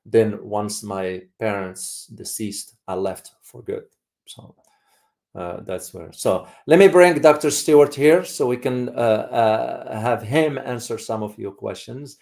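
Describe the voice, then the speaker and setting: A man from Morocco hosting a casual live community discussion, speaking haltingly and often repeating his words. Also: medium pitch, vocal fry, darkish tone. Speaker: host.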